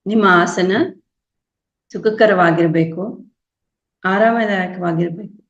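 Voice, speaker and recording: A woman with a Kannada accent leading a guided meditation, giving calm, unhurried instructions with long pauses. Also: on the low side. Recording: dull, echoey lo-fi room sound.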